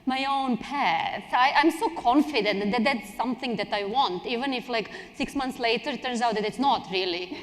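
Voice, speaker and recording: A woman's voice: on the high side, normal speed, hardly any pauses. A woman from Serbia speaking casually and emphatically as a panelist, often restarting her thoughts. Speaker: panelist, strategy director. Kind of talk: casual spontaneous panel talk. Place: indoor conference hall on stage. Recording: echoey hall, long reverb.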